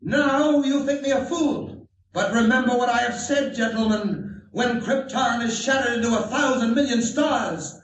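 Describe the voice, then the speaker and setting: A man's voice: extremely high pitch, a little slow, a bit bright, distant mic. A man playing a grave, impassioned alien scientist foretelling doom in declamatory 1940s radio style. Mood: urgent, impassioned. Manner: solemn, oratorical, declamatory. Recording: echoey 1940s radio broadcast recording.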